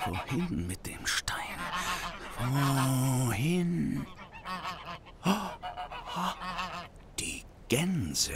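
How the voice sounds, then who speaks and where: An actor playing a nervous, desperate young thief in a radio play, anxious, stammering and breathlessly pleading. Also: male voice, medium pitch. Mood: panicked. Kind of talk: German radio play acting.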